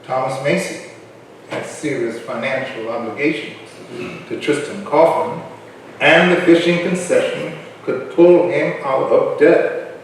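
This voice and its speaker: A man's voice: medium pitch, a little slow, a little hiss or hum, lightly acted. A man performing as the narrator of a historical play in a staged reading, telling the story as scripted narration. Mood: storytelling. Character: male narrator of a colonial island history play. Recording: very echoey hall, distant mic.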